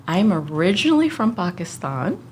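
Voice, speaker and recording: A woman's voice: fairly low pitch, sing-song, normal speed, darkish tone, a little hiss or hum. A woman in her forties, a podcast guest, telling her life story in an earnest, reflective way with frequent fillers. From United States. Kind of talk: casual conversational interview.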